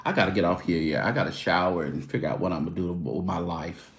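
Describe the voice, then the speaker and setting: A man's voice: deep voice, fast, roomy sound. A man hosting his own show, chatting loosely and casually with his viewers. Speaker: show host. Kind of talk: casual livestream chat.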